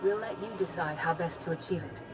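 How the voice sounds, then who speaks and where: An actress playing a dignified, formal Jedi leader in a video game cutscene. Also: female voice, lowish voice, fairly quick, music in the background, lightly acted. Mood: resolute.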